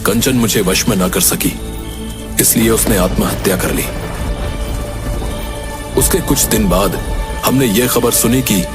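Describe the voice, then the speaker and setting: A man's voice: fairly low pitch, measured pace, pauses often, music in the background, natural voice. An actor playing a grave young man in a cartoon story, speaking in a serious, dramatic, explanatory way. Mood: grave, explaining. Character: grave young man warning his friend about witchcraft. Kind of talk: Hindi cartoon story dubbing.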